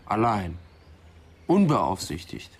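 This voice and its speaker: An actor playing a sardonic, skeptical police detective who needles people, in a German radio crime drama. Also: male voice, lowish voice, sing-song, takes it slowly, breathy edge, full character voice. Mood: probing.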